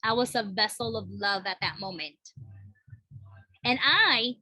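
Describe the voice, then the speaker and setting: A woman's voice: medium pitch, unhurried, sharp tone, no echo, poor audio. A woman co-hosting a podcast, talking earnestly in casual spiritual chat, repeating phrases and asking "right?". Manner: reads a book passage aloud, then reflects. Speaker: podcast co-host.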